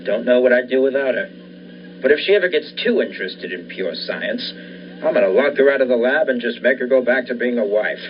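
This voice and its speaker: A man's voice: mid-range voice, expressive, a little fast, close to an everyday voice. A young man playing an obsessive, defiant research scientist in the style of a 1940s radio thriller, earnest and insistent. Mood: devoted, defensive. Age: young adult. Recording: dull lo-fi recording with faint noise.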